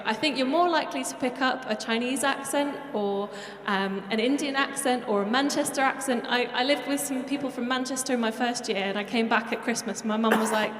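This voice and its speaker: A woman hosting a panel, chatty and upbeat as she reads questions. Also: a little high. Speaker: event host and moderator. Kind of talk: casual moderating. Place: large hall on stage. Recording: echoey hall, faint noise.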